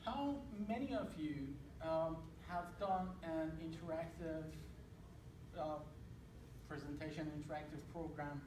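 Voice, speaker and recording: A man's voice: fairly high pitch, a little slow. A man in his thirties from Iran with an Iranian accent, giving a casual, hesitant talk to an audience, full of fillers and restarts. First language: Persian. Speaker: presenter. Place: hall with audience. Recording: echoey room, distant mic, noisy.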